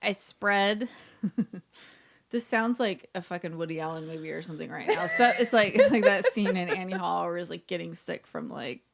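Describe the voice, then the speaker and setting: A woman's voice: fairly low pitch, sing-song, moderate pace, vocal fry, a little hiss or hum. An American woman co-hosting a podcast, telling rambling, self-deprecating stories in casual chat and swearing freely. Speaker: podcast co-host.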